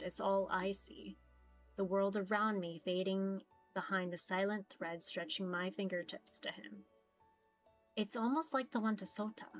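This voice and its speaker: A woman's voice: mid-range voice, a bit bright, low-quality recording. A woman streamer reading a visual novel aloud in a casual live style, often stumbling over words and teasing herself.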